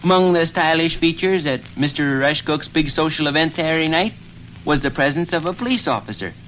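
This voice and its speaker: A man's voice: fairly high pitch, animated, a little fast, fairly bright tone, slight background noise, low-quality recording. An actor playing an eager, boastful teenage boy, earnest and self-important, in 1930s radio comedy style with folksy small-town talk. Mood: proud.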